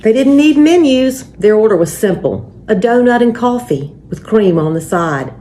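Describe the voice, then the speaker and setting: A woman hosting a story time and reading to families in a measured, deliberate, expressive way. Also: on the high side. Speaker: church story time host and reader. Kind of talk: children's picture book read-aloud with spoken introduction. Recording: faint background noise.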